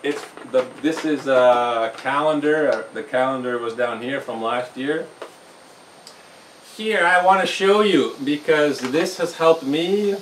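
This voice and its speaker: A middle-aged man with a fairly high voice talks very slowly and gently to a camera across a small brick kitchen. The sound is roomy and distant, with a faint hiss behind it. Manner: slow, gentle, with fillers and restarts. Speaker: home cooking channel host. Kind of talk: casual talk to camera. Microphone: camera mic from across the room.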